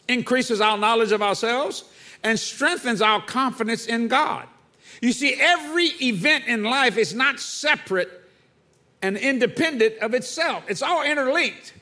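A man preaching in a church with a high, lifted voice. His tone is bright and forceful. He keeps throwing questions at the congregation and demands their answers. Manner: call-and-response preaching, rhetorical questions to the congregation. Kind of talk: sermon.

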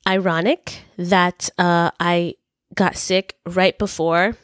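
A woman hosting a podcast English lesson, speaking clearly and patiently while recovering from a cold. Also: lowish voice, flat delivery, takes it slowly, no room sound, studio quality. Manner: clear, patient teaching delivery. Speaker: English teacher and podcast host.

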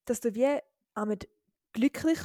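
A woman's voice: a little high, a little slow, warm tone, dry recording, clean studio sound. A young woman in her twenties with an Eastern Swiss German accent, chatting casually as a podcast co-host, giggly and full of fillers. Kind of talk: casual chat.

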